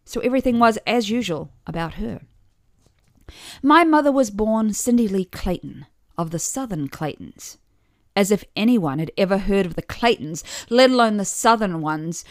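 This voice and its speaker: A woman's voice: mid-range voice, dry recording. A middle-aged woman reading a scripted first-person story with wry, dry humour. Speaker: podcast author and narrator.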